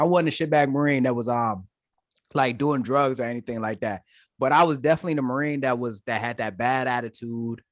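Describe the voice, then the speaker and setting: A young man from Detroit, a podcast guest telling his story in casual, animated, slangy chat. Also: mid-range voice, no echo, clean studio sound. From Detroit, Michigan, USA. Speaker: podcast guest, Marine staff sergeant.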